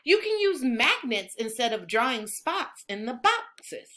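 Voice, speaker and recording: A woman reading a children's book aloud in an expressive, instructional way, like a teacher. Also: a little high, a little slow. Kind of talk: animated children's read-aloud.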